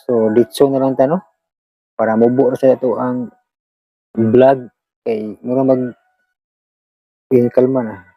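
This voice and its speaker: A Filipino vlog host with a Visayan accent chatting casually to listeners, rambling in a halting, repetitive and apologetic way. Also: mid-range voice, creaky, warm tone, slightly rough, no room sound, low-quality recording. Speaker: vlog host who shares radio dramas.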